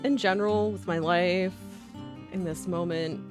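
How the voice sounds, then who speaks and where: A woman's voice: on the low side, fairly even intonation, unhurried. A woman livestreamer rambling casually to her viewers in a playful, goofy way, full of slang and silly exclamations. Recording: music playing behind the voice.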